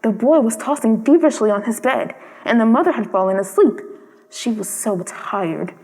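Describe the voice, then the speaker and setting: A woman's voice: a little high, lively intonation, moderate pace, a little mellow. A woman reading a storybook aloud as a scripted storyteller. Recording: long reverb.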